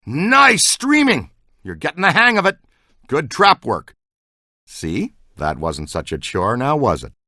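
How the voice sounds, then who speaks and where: A man's voice: medium pitch, huge pitch swings, unhurried, pushed and bright, no room sound, clean studio sound, full character voice. A man acting as an eager, talkative ghost-hunting character in a video game, earnest and full of technical jargon. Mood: upbeat, instructive. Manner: earnest, technical jargon, urgent call-outs.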